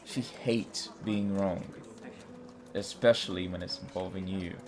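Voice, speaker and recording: A man's voice: deep voice, fairly even intonation, unhurried. An actor playing an easygoing young man in an audio drama, bantering in a laid-back, teasing way. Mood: candid, nudging.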